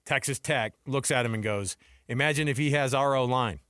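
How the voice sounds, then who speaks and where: A man's voice: mid-range voice, fairly quick, a bit bright, clear, resonant tone, dry recording. A man with an American accent and a mid-range voice co-hosts a sports talk show. He speaks casually and with firm opinions, using slang and throwing out questions. The sound is dry and close. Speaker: sports talk radio co-host.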